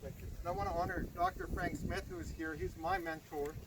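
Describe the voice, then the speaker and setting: A man's voice: very high voice, wildly swooping pitch, fairly quick, vocal fry, very noisy. A man from Estonia telling a grateful, earnest personal story in an outdoor speech. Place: outdoors by a river.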